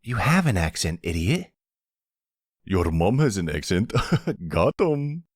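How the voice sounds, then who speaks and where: A man's voice: low-pitched, very animated, slow pace, dry recording, studio quality, full character voice. A man playing a cheeky, teasing young son of a mafia boss in an audio roleplay. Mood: mocking.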